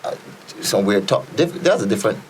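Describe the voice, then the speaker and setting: A man's voice: fairly low pitch, lively intonation, a little fast, slight background noise, poor audio. A Barbadian man, a podcast guest, telling stories in a rambling casual way, full of fillers and repeated starts. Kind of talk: casual storytelling chat.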